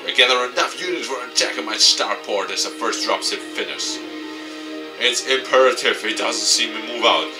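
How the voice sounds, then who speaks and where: Male narrator for a game story, on the low side, expressive delivery, recorded off-mic over prominent music. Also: far from the mic. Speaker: gaming YouTuber. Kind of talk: dramatic read-aloud of visual novel narration.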